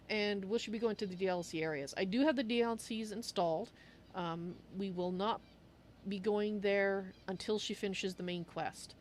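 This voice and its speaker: A woman's voice: mid-range voice, moderate pace, slight background noise. Woman livestreamer, faint game ambience behind. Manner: speaks of her character in the third person. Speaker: livestreamer playing a roleplay game. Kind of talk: in-character game roleplay mixed with casual chat to viewers.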